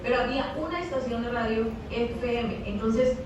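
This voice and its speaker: A woman's voice: a little high, normal speed, lo-fi sound. Mexican woman, distant and echoey. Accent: Mexican Spanish. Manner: hesitant, repeats words, thinks aloud. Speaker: radio announcer and guest speaker. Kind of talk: interview over a video call. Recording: echoey, distant, noisy video call audio.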